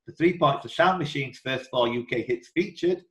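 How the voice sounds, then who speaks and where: A man's voice: mid-range voice, moderate pace, fairly bright tone. A man hosting a live quiz, reading out questions in an indoor room on an online group call. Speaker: quiz host and question reader. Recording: roomy, echoing online call audio.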